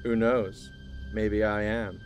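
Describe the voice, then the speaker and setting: An actor playing an earnest, secretive male scientist in an audio drama, speaking in a measured, formal way. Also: fairly low pitch, level tone, soft background music. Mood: grave, secretive.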